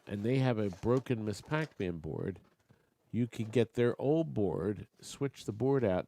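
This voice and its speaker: Gravelly man running a chatty auction. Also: fairly low pitch, a little mellow, slight background noise. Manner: chatty, joking asides to bidders. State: losing his voice. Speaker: auctioneer and show host. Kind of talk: live online auction chat.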